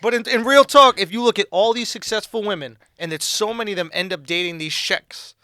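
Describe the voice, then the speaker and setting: Brash, high-pitched man riffing on a podcast. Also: fairly quick, dry recording. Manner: brash, animated riffing with slang and profanity. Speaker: podcast host and comedian. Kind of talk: casual comedic podcast banter.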